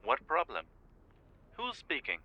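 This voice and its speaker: A man voicing an eager, warm man from Germany who tumbles out questions, heard as if over a phone line. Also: medium pitch, wildly swooping pitch, unhurried, no echo. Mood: puzzled. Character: warm middle-aged man, Philip on phone.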